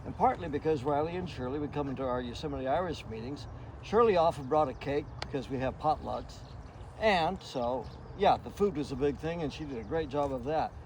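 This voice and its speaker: A man with a fairly high voice gives an informal tribute outdoors. His talk is plain and folksy, and there is clear background noise around him. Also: fairly quick. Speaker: iris club member giving a tribute. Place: outdoors in a garden. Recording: noisy outdoor recording.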